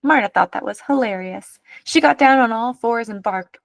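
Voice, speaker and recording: A woman reading a children's picture book aloud as an expressive narrator. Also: a little high, normal speed, gravelly, dry recording.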